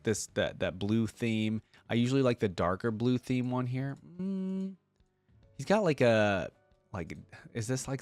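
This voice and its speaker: Male reaction host, mid-pitched, casual and deliberating, talking straight to viewers on a close, dry mic. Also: darkish tone, no echo. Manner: informal, hesitant deliberating, addresses viewers directly. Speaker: host of a game reaction channel. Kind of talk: casual running commentary, thinking aloud.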